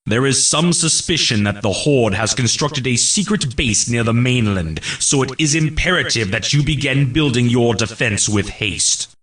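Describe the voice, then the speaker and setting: A man playing a solemn military herald, narrating mission briefings for a fantasy game in a formal, measured, grave and declamatory way. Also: medium pitch, animated, a bit bright, distant mic, lightly acted.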